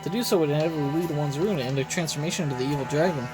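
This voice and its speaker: A man's voice: a little high, very fast, natural voice. A man voicing an earnest, cautious companion in a video game, speaking formally, with music behind. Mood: earnest, wary. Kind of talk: reading game story dialogue aloud.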